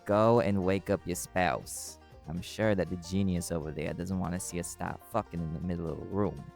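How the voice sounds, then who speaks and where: A man's voice: deep voice, steady tone, moderate pace, gravelly, over music. A man playing a cool, wry, flirty magical private eye in an intimate audio roleplay, teasing dryly and swearing casually.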